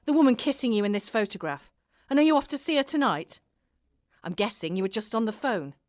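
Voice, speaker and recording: An actress playing a suspicious, hurt and pleading wife in an emotional radio drama. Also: female voice, fairly high pitch, big pitch swings, fairly quick, darkish tone, no echo, studio quality. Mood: hurt, accusing.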